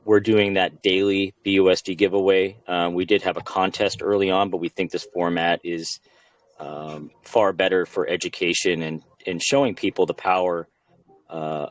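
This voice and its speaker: Deep monotone guy, dry sound, lots of ums while he pitches his project. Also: no room sound. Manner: many fillers and restarts, talking points. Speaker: project team member. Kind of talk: casual AMA answer.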